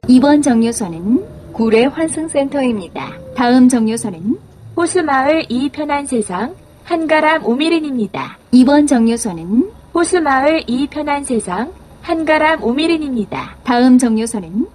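A woman delivers scripted bus stop announcements in a high voice. The recording carries audible background noise under her short, formal phrases. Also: expressive, moderate pace, pauses often, darkish tone.

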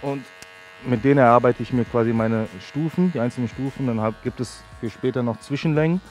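A barber in his thirties talks casually through his technique while he works, his pitch in the middle for a man. Music and buzzing clippers run behind him. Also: a little fast. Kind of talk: casual explanation while working. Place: large indoor sports hall. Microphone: headset.

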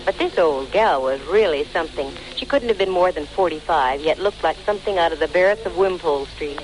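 A woman's voice: on the low side, expressive, brisk, close to an everyday voice. An actress playing a wisecracking young female private detective with a dry, breezy delivery, in 1940s radio style. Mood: wry narration. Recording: noisy vintage 1940s radio recording.

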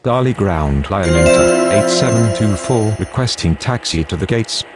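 Low-voiced actor as clipped air traffic radio man. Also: animated, moderate pace, loud music behind the voice, lightly acted. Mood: procedural. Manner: clipped, procedural. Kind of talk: scripted aviation radio phraseology.

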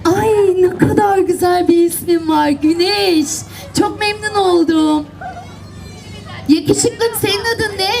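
A woman's voice: extremely high pitch, slow pace, vocal fry, a little mellow, faint music behind. A woman telling fairy tales live to children, addressing them directly and playfully at an outdoor event. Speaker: children's storyteller. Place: outdoors, seaside public event. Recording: outdoor event with crowd and background noise.

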